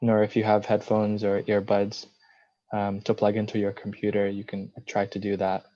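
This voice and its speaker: Guy on a zoom call, super flat monotone, dull muffled audio. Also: fairly low pitch. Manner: earnest, halting with fillers. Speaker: discussion participant. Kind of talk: casual video call remarks. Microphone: computer microphone on a video call.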